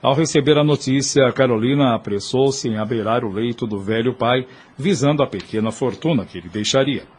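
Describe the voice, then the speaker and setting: A man with a mid-pitched, somewhat husky voice narrates a period audio drama. His delivery is solemn, literary and formal. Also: a little fast, few pauses, darkish tone.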